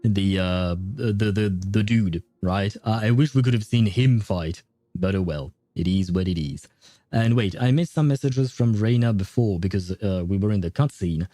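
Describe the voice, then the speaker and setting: Man casually commentating a game stream, soft music behind. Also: fairly low pitch, normal speed, no room sound. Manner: hesitant, searching for words. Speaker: game livestreamer.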